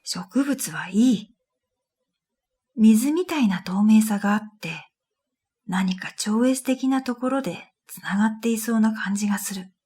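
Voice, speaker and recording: A woman plays a weary, introspective dream reader narrating a radio drama. Her voice is breathy and fairly high, and she speaks slowly and quietly, with music behind her. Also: animated. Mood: pensive, calm. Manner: quiet, measured, pensive, trailing into breathy phrase ends. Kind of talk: scripted first-person radio drama narration.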